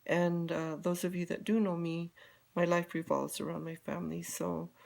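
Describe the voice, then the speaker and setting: Older woman from Colorado, low flat voice, gravelly, rambling casual live chat. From Colorado, USA. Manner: rambling, many fillers and restarts. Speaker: beauty guide vlogger.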